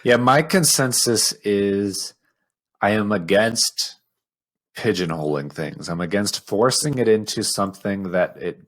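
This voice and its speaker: Male podcast co-host with a low-pitched voice, conversational and opinionated. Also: lively intonation, measured pace. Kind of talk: casual film discussion.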